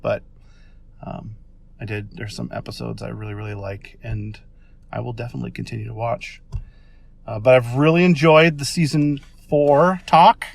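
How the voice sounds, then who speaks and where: A man's voice: mid-range voice, steady tone, moderate pace, creaky. An American man leaving a casual voice message, talking in an enthusiastic, informal and rambling way. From Minnesota, United States.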